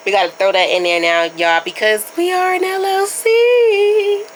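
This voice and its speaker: A woman's voice: extremely high pitch, steady tone, moderate pace, slight background noise. A young woman hosting her podcast, chatting casually and informally with her listeners while stuffed up from a starting cold. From Baltimore area. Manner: chatty, loose and informal, addresses listeners as y'all. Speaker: podcast host. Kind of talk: casual solo podcast chat.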